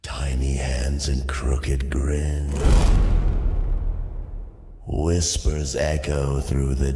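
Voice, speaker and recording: A man's voice: extremely low pitch, exaggerated voice. A man voicing a goblin with a wet, guttural tone in a theatrical fantasy verse. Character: guttural goblin with crooked grin, fantasy creature. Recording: loud music behind.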